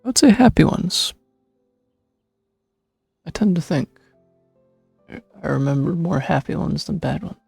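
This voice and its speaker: A man's voice: on the high side, animated, measured pace, gravelly, long pauses, darkish tone, husky, no echo. A man giving casual, informal reaction commentary as a game streamer, sounding sincere. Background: faint game music.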